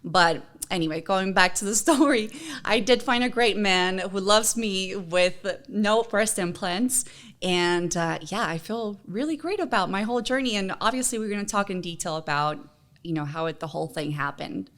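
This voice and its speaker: A woman's voice: medium pitch, normal speed, fairly bright tone. A woman from Honduras co-hosting a podcast, chatting casually and personally in an earnest, encouraging manner. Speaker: podcast co-host.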